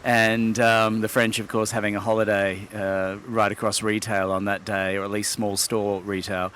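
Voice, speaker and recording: A man's voice: lowish voice, level tone, normal speed, fairly bright tone, slight background noise. A middle-aged man from Sydney, Australia, a wine expert guest, speaking in articulate, wordy sentences with hesitant fillers. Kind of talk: relaxed interview talk.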